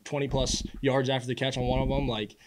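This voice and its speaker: Fast-talking young male athlete. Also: medium pitch, little pitch movement, a crowd in the background. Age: early 20s. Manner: casual, earnest, halting with repeated words. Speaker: college football quarterback. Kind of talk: postgame press conference answers. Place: indoor press room at a podium. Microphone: podium microphone.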